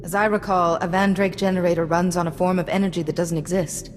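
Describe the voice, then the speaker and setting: An actress playing a composed, supportive Jedi diplomat in a video game, speaking politely and with measured delivery. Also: female voice, fairly low pitch, flat delivery, long reverb tail, lightly acted. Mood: thoughtful. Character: calm, courteous, supportive female Jedi diplomat. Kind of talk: scripted video game acting. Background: music.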